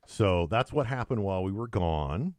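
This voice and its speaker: Lively low-voiced man, joking podcast host. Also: normal speed, dry recording, clean studio sound. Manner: joking, sarcastic, animated storytelling. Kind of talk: casual podcast chat.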